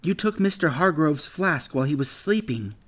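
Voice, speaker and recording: A man's voice: on the high side, expressive, moderate pace, fairly bright tone, voice pushed up. A man reading an audiobook, voicing a frightened young boy who stammers out an earnest protest. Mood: nervous. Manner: shaky, earnest.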